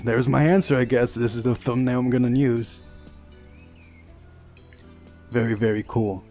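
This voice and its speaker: Casting: male playthrough host, unhurried visual novel narrator with wry asides, soft music behind. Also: medium pitch. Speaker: video game playthrough host. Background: faint music.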